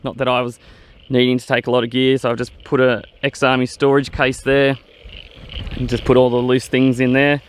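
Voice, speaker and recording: A middle-aged man giving an unhurried, plain-spoken vlog walkaround, explaining things casually outdoors. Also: mid-range voice, flat delivery. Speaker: vlogger, owner of a vintage off-road vehicle. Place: outdoors in open grassy bushland. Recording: windy outdoor noise.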